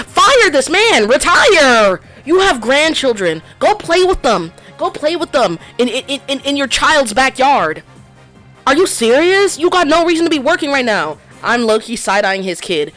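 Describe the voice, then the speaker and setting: A woman's voice: high-pitched, fairly bright tone. Ranting, joking woman streamer. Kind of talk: game playthrough commentary.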